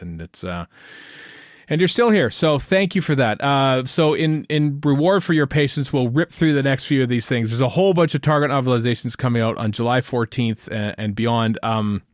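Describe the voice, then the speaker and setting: A man's voice: mid-range voice, dry recording, studio quality. A middle-aged man hosting a fan podcast in casual, chatty, rambling talk. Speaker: podcast host.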